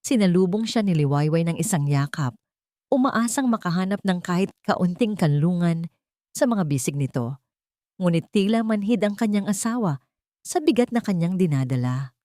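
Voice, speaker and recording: Female Filipino narrator for dramatic fiction; slightly low pitch, very expressive melody, dry recording. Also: normal speed, no echo. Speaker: story narrator. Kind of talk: dramatic scripted storytelling narration.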